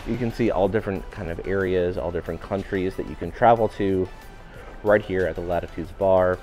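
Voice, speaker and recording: A man giving an upbeat walking tour of a cruise ship, his voice a little raspy today. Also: low-pitched, mellow. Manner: upbeat, enthusiastic guiding. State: slightly raspy voice. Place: indoor public areas of a cruise ship.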